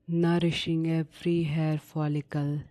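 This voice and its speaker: A woman narrating a guided sleep meditation in a soothing, unhurried manner with long pauses between short instructions. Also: deep voice, little pitch movement, warm tone.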